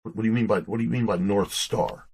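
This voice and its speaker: A man with a fairly low, husky voice answers as an author being interviewed. He talks conversationally, often doubling back and repeating words as he works out his point. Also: fast talker, a little mellow. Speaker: guest author.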